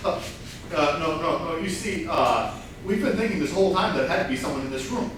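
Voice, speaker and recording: A man's voice: a little high, animated, a little fast, a bit bright. A man playing an aggrieved, scheming party crasher in a comic whodunit, hesitant yet indignant, at a staged reading. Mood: hesitant, realizing. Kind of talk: staged comedy play reading. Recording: echoey hall, far mic, faint noise.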